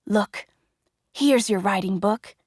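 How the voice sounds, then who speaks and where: A woman voicing a kind, poor old carpenter father in a storybook reading, speaking gently and softly. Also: medium pitch, slow, no room sound, studio quality. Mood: gentle. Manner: gentle and soft, sometimes whispered.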